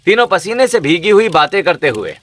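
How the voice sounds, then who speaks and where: A man's voice: high-pitched, very animated, a little fast, fairly bright tone. A man narrating a scripted cartoon story as a storyteller.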